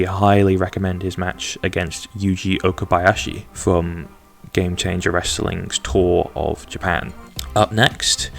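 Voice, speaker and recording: Man, gravelly low voice, chatty game-commentary host with rambling asides. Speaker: gaming video host.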